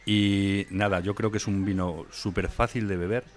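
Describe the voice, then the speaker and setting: A man's voice: on the low side, fairly even intonation, normal speed. A man as a wine expert guest in a casual interview, knowledgeable and rambling as he describes a wine. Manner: knowledgeable, rambling, many fillers and restarts. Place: wine venue indoors.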